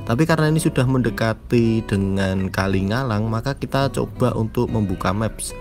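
A man giving calm, measured voice-over narration about a motorbike trip. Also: fairly low pitch, over music. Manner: measured storytelling, explains the route. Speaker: vlog narrator.

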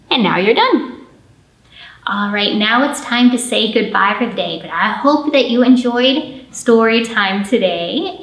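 A woman in her thirties speaking to young children as a storytime host, in an animated, encouraging way with drawn-out emphasis. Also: mid-range voice. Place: indoor library storytime room. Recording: roomy indoor echo.

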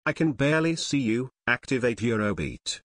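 A man's voice: medium pitch, expressive, normal speed. A man voicing a comedic meme video with a playful scripted delivery.

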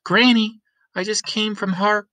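Man voicing an urgent, high-pitched young girl. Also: steady tone, a little slow, bright, forceful tone, no echo. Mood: concerned. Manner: urgent questioning. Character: concerned young girl, caring for family.

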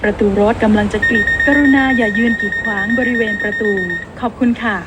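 A woman giving scripted train door-closing announcements in a formal, measured manner. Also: fairly high pitch, steady tone. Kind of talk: scripted public transit announcement. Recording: train announcement buried in loud noise. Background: door alarm and train noise.

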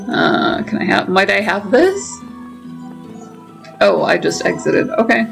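A woman's voice: medium pitch, very animated, a little slow, vocal fry. A woman hosting a gaming video with casual commentary as she plays. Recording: faint game music behind. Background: game music and dialogue.

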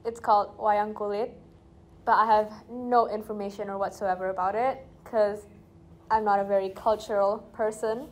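A young woman from Indonesia speaks casually and frankly in an interview. Her pitch sits in the middle of the usual range. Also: steady tone, moderate pace. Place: indoors by a projection screen.

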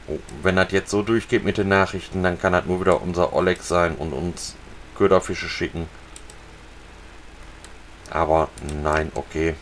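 A man casually talking viewers through a fishing video game, relaxed and chatty. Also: low voice, slight background noise. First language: German. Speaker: gaming channel host. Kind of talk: casual let's-play commentary.

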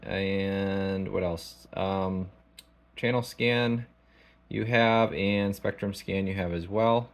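Low, creaky-voiced man, casual and halting. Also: steady tone. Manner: halting, informal, thinking aloud. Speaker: radio technician. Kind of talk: casual product demonstration. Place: small indoor electronics workshop.

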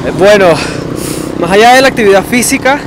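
Young man from Venezuela, aerial acrobat, high voice, measured answers, loud traffic noise. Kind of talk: casual interview answers. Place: street corner outdoors by traffic.

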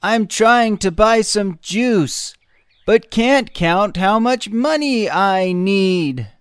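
A woman voicing an earnest little boy in a children's cartoon, with halting, simple childlike phrasing. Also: mid-range voice, lively intonation, clear, resonant tone, no echo. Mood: friendly, puzzled.

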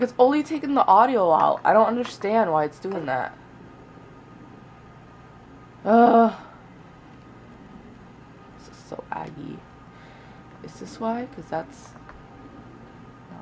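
A woman's voice: medium pitch, noisy background. A young woman vlogging casually from her bedroom, muttering to herself and swearing while she works, recorded on a camera held close. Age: young adult. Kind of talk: casual vlog chat. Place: small bedroom indoors by a window.

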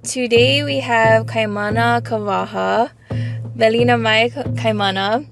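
A woman's voice: fairly high pitch, steady tone, a little slow, gravelly, music in the background. A woman from Hawaii hosting an interview and asking conversational questions. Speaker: host. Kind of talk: casual interview.

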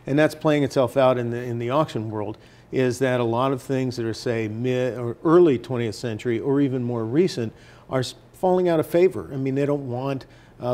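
An older man giving halting explanations as a guest in a casual TV studio interview. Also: mid-range voice, dark tone. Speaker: newspaper editor as interview guest. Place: TV news studio, indoors at a desk.

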